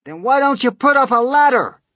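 A man voicing an excitable, alarmed police chief in a storybook, blustering and shouting. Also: sits high, takes it slowly, breathy edge, dry recording, studio quality, pitched above the performer's own voice, heavily acted.

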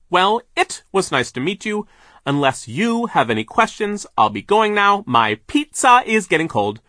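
Domineering storybook boss, high-pitched, loud, pompous, drawn-out emphatic words, dismissive, very dry recording. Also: male voice, no echo, exaggerated voice. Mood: brusque. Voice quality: forceful, drawn-out emphatic delivery. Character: loud, domineering, stingy male lumber mill boss. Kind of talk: audiobook character voice.

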